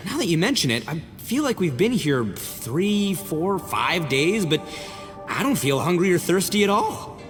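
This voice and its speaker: A man playing a brash, easygoing young man in a video game, informal and quick to blurt things out. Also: high voice, very animated, big-room sound, music in the background. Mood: confused.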